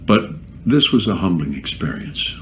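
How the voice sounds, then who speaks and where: Deep-voiced man reading a memoir. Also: big pitch swings, fairly bright tone, a little hiss or hum. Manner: measured, wry first-person narration. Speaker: guest reader.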